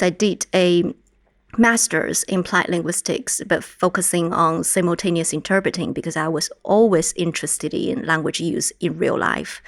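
Lower-voiced woman with a Mandarin Chinese accent. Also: no room sound, studio quality. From China. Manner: measured, explanatory. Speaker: guest linguistics researcher. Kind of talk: podcast interview.

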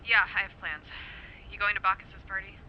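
An actress playing a bitter, sarcastic young adult daughter in an audio drama, heard through a telephone filter. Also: female voice, medium pitch, steady tone, a little fast. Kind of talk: naturalistic audio drama acting. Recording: muffled phone line, faint noise.

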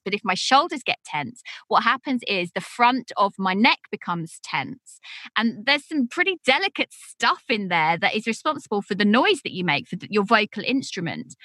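Bright-voiced woman hosting a podcast. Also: mid-range voice, no echo. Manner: chatty, explains from personal experience. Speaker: podcast host. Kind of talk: casual podcast conversation. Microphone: podcast microphone, seated.

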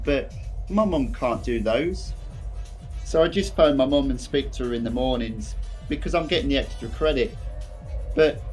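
A man's voice: mid-range voice, natural voice. Male actor as a young remand prisoner, anxious, plain-spoken. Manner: plain, simple, hesitant phrasing. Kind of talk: audio drama monologue.

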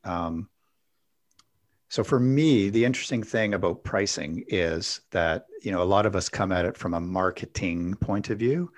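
Low-pitched male host, casual interviewer, meandering questions with plenty of fillers. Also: moderate pace. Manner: rambling questions with many fillers.

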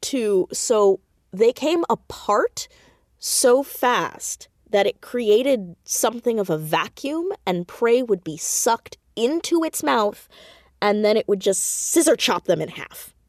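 A woman chatting casually as an interview guest, with an animated, emphatic, jokey and sometimes sweary way of talking. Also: fairly high pitch, slow pace, no room sound, studio quality. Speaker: podcast guest, audio drama creator and writer.

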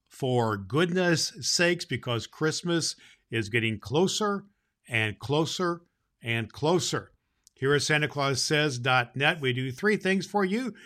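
A jolly, kindly old Santa Claus played by a man hosts a children's Christmas show. He has a medium-pitched male voice and speaks in a folksy, emphatic way, halting and repeating himself as he addresses the children. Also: sharp tone.

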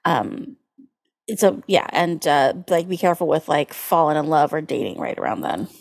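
A woman's voice: deep voice, sing-song, normal speed, creaky. A woman co-hosting a casual podcast, talking in a playful, colloquial, wry way. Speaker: podcast co-host. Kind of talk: casual chat about horoscopes.

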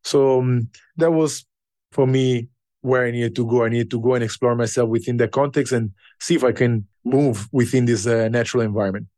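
A man who learned English later in life, a podcast guest telling his story in an unhurried, reflective way with pauses. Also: medium pitch, fairly even intonation, mellow, no echo. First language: not English. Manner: unhurried and thoughtful, with pauses and fillers.

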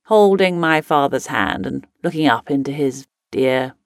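A woman's voice: rumbling low, animated, a little slow, exaggerated voice. An actress playing a spirited, headstrong upper-class lady explorer who looks back in a genteel, emphatic dramatic monologue. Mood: wistful, tender. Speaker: actor reading a monologue. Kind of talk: scripted first-person dramatic monologue.

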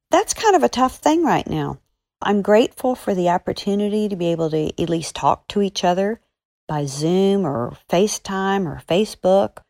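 A woman speaking as a children's author and podcast guest, encouraging young listeners with earnest, deliberate emphasis. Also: fairly low pitch, darkish tone, no echo.

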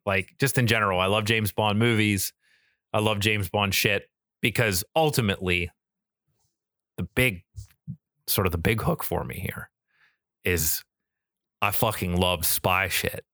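A man's voice: on the low side, a little slow, long pauses, pushed and bright, no room sound, studio quality. An American man in his thirties chatting casually as a podcast co-host, enthusiastic, rambling and freely profane. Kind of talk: casual chat.

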